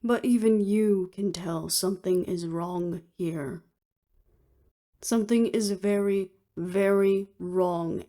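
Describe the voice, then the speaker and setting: A woman's voice: mid-range voice, mellow. A woman acting in an audio roleplay as an earnest, weary official who speaks formally and seriously.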